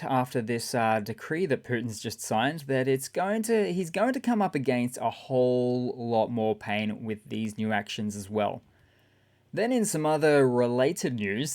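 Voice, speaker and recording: A man with a raspy voice hosting a casual, sarcastic news commentary. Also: medium pitch, a little mellow. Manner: sarcastic, chatty. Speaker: channel host.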